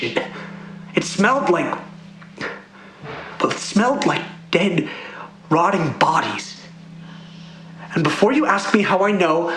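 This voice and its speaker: Casting: a man to play a shaken male musician giving horror testimony in a radio play; high-pitched, slow, repeating himself. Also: sharp tone, exaggerated voice. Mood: uneasy, haunted. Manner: halting, repeats phrases. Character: frightened touring musician recounting a horror under questioning. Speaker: actor in an audio play. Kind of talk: dramatic audio play monologue. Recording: roomy echo, faint background noise.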